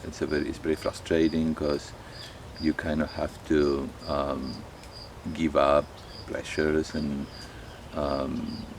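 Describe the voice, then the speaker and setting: A middle-aged man with an Argentine Spanish accent, a monk speaking in a halting, thoughtful way and often pausing to search for words. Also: extremely low pitch, completely flat delivery, slight background noise. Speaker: monk, abbot of a monastery. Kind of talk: reflective spoken talk to camera.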